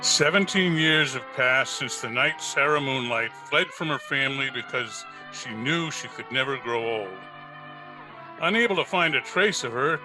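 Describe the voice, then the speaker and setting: A man's voice: mid-range voice, pushed and bright, low-quality recording. A man narrating a scripted old-time radio drama. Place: at home, recorded over a video call.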